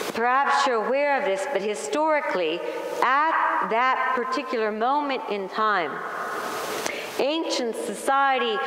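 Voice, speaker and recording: Slow, measured woman preaching in a church. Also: fairly high pitch, a bit bright, slight background noise. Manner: earnest, measured preaching with emphatic rises. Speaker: pastor. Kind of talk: sermon. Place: large wood-paneled church sanctuary. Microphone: pulpit microphone, filmed by a far camera. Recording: echoey church hall from afar.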